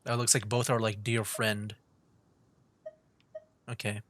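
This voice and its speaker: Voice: mid-range voice, flat delivery, takes it slowly, a bit bright, no echo. Casual streamer, dry close sound. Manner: informal, thinks aloud. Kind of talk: casual game stream commentary, reading dialogue options aloud.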